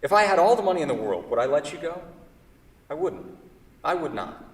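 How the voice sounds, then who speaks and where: A man's voice: on the high side, sing-song, a little fast. Echoey distant hall, man playing anguished furniture maker, wordy, fretful, self-correcting, theatrical stage reading. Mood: tender, wistful. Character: anguished furniture craftsman in love with his own creation. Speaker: actor in a staged play reading. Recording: echoey hall, far from the mic.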